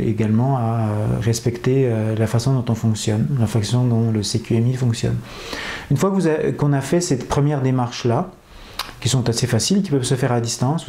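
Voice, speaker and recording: A man presenting a dating agency and explaining its process to viewers, pausing often with fillers. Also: medium pitch, warm tone, roomy sound. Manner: hesitant, many fillers.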